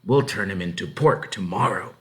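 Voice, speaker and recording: A man's voice: sits low, moderate pace, lightly acted. A man voicing an easygoing middle-aged farmer and father in a storybook, with hesitant, rustic talk. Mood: placating.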